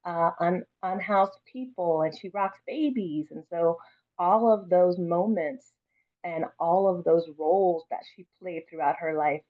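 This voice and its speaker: A woman's voice: lowish voice, measured pace, phone-quality sound. A woman speaking expressively and encouragingly, as an author introducing and reading a children's story aloud.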